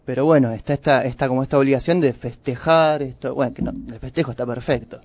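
An Argentine man co-hosting a radio show in a studio, chatting casually with frequent hesitant pauses. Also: mid-range voice, a little mellow, no echo. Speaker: radio co-host.

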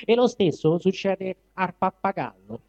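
An indignant she-monkey acted out by a man declaims verse in a high, theatrical voice with a Roman accent. She stretches her words for scornful emphasis. The live recording is slightly dull. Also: expressive, unhurried, gravelly, a little mellow, exaggerated voice. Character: indignant proud female monkey ranting at humans. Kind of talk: dramatic recital of dialect verse.

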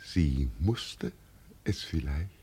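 An actor playing an old village man with solemn delusions of grandeur, speaking in a halting, cryptic way in a radio play. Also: rumbling low, lively intonation, mellow, breathy edge, exaggerated voice. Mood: solemn, dreamy.

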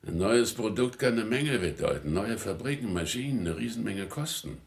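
An actor playing a middle-aged, no-nonsense business researcher in a radio drama. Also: male voice, lowish voice, animated, moderate pace, creaky. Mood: businesslike. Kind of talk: 1980s radio drama dialogue.

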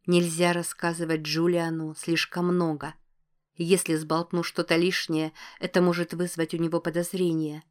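A woman's voice: fairly low pitch, little pitch movement, moderate pace. A woman reading scripted first-person fiction as an audiobook narrator.

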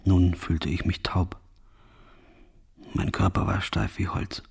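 A numb, guilt-ridden soldier recounting his deeds in a radio drama. His voice sits low and is thickly husky, with a lot of breath in it. The delivery is grave, restrained and halting. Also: sing-song, full character voice. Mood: numb, exhausted. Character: guilt-ridden male soldier of a firing squad, numb, exhausted. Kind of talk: scripted first-person radio play monologue.

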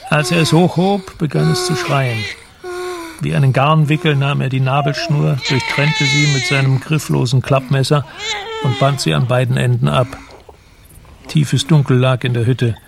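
A man narrating a literary radio drama in a measured, sombre storytelling manner. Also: medium pitch, steady tone, vocal fry, very noisy.

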